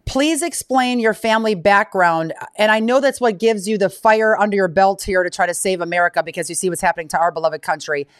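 A woman's voice: medium pitch, a little fast, hardly any pauses, clear, resonant tone, dry recording, studio quality. A woman hosting an interview podcast, chatty and upbeat as she introduces and questions her guest. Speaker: podcast host.

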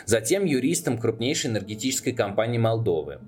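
A man's voice: mid-range voice, lively intonation, fast talker, a little mellow, husky. A man narrating a scripted documentary podcast as its narrator. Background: background music.

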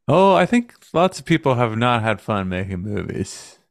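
A man's voice: medium pitch, big pitch swings, normal speed, vocal fry, no echo, clean studio sound. A man co-hosting a podcast, chatting in a wry, joking way. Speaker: podcast co-host. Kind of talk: casual chat.

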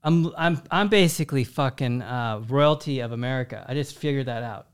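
A man's voice: mid-range voice, brisk, clear, resonant tone. A man hosting a casual podcast interview, rambling and making self-mocking jokes. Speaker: podcast host.

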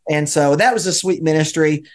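An American man with a fairly high voice answers interview questions conversationally. His phrasing is halting and hesitant, broken by many pauses as he searches for how to put things. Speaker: interview guest, volunteer church ministry leader.

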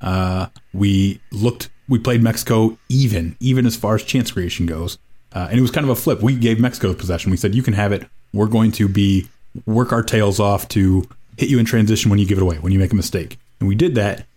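A man's voice: fairly low pitch, fairly quick, darkish tone. A man co-hosting a casual soccer podcast, giving a wry, rambling analysis full of fillers. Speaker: podcast co-host.

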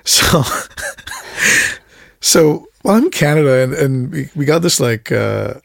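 A man in his thirties who grew up in India talks casually as a podcast guest, his voice gravelly with fry. He stumbles through many restarts and fillers, then livens up to act out the people he quotes. The sound is dry and close. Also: fairly high pitch, takes it slowly, no room sound. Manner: hesitant, lots of stammered restarts and fillers; animated, acts out quoted voices, laughs. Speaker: podcast guest, creative director. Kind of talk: casual interview storytelling.

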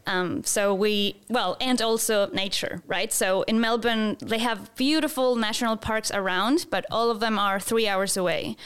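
Woman, 30s, chatty interview guest, crisp clear voice, lots of fillers and laughs. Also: mid-range voice, steady tone, measured pace, hardly any pauses, fairly bright tone. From Chile. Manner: chatty, many fillers and restarts, laughs often. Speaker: photographer and guest. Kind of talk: casual interview.

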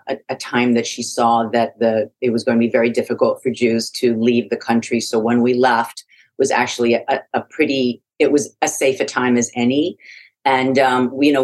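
A woman's voice: a bass voice, a little mellow. A middle-aged woman from Iraq, a podcast guest telling her life story in a frank, reflective way with frequent restarts. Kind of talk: conversational interview.

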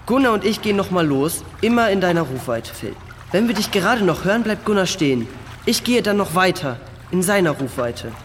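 A male actor playing a calm, hopeful boy adventurer in a children's audio drama. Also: high-pitched, a little fast. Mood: calm, encouraging. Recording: reverberant cave effect, faint water noise.

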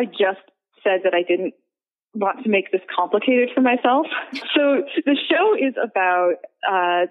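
Chatty woman on a tinny phone-like line. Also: medium pitch. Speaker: guest, audio drama writer and producer. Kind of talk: casual conversational interview.

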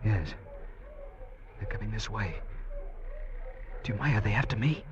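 A man's voice: on the low side, lively intonation, measured pace. An actor playing a frightened, despairing male convict in a radio drama, speaking in an agitated, pleading way. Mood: frightened, bewildered. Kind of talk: 1940s-50s radio drama acting. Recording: dull, noisy old radio recording.

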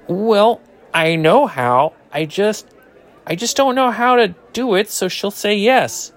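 A man's voice: high voice, lively intonation, takes it slowly. A man playing an eager, bashful but brash ninth-grade boy in an audio drama.

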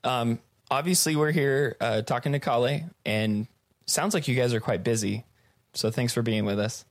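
A man's voice: mid-range voice, normal speed, dry recording. A man hosts a podcast in an easygoing, slightly hesitant manner. His voice sits in an ordinary middle range. The recording is dry and close. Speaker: podcast host. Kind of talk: casual chat.

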